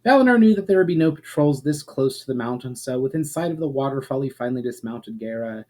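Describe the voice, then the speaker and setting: A man reading aloud as an author and host, with expressive, measured phrasing that falls away softly at the ends of sentences. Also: mid-range voice, poor audio. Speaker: author and channel host reading his own book. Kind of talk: dramatic storybook reading of fantasy prose.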